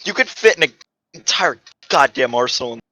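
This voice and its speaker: A man's voice: mid-range voice, lively intonation, normal speed, bright, forceful tone, dry recording. A man in a casual group voice chat, talking informally with exaggerated exasperation.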